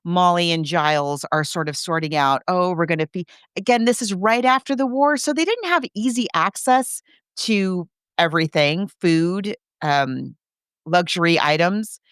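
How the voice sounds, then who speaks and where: Female podcast co-host, smooth clear voice in a dry, close sound, chatty and animated, recapping a story casually. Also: fairly low pitch, moderate pace, dry recording.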